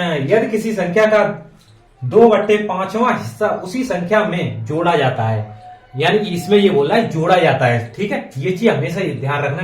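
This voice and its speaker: A man teaches maths step by step in an informal way, repeating phrases and adding frequent check words. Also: high voice. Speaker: maths teacher for exam preparation. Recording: echoey room, distant microphone.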